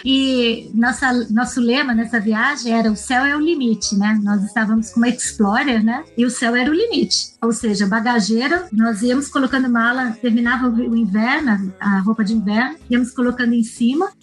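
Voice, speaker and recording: A woman's voice: on the high side, a little fast, hardly any pauses, poor audio. A Brazilian woman telling travel stories casually as a podcast guest, chatty and often seeking agreement with tag questions.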